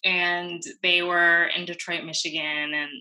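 A woman, an interview guest talking thoughtfully and haltingly in a casual reflective conversation. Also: fairly low pitch, level tone, sharp tone, rough recording. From New York City. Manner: thoughtful, halting, with many fillers and restarts.

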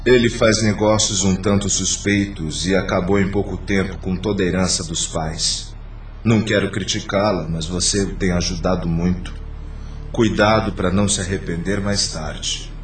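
A man acting as a concerned husband in a radio serial, speaking in a fairly low voice. He cautions gently but firmly and earnestly, recorded away from the mic in an echoing room. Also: steady tone, moderate pace, close to an everyday voice. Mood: gently warning. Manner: earnest, admonishing. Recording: roomy, distant mic, faint noise.

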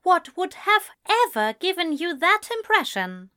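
A woman's voice: very high-pitched, big pitch swings, a little slow, bright, forceful tone, pitched above the performer's own voice, heavily acted. A woman voicing an earnest, prim man in an audiobook story, with formal, precise phrasing and a firm, exasperated manner. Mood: indignant.

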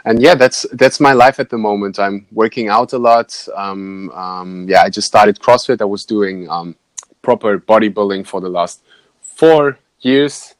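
A man's voice: on the low side, measured pace, no echo. A young man from Germany with a German accent, chatting as a podcast guest and fitness coach, hesitant with many fillers and laughs. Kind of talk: casual interview chat.

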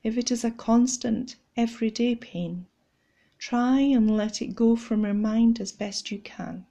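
Slow, mellow woman guiding a meditation. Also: fairly high pitch, fairly even intonation. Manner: gentle, measured, instructional. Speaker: guided meditation leader.

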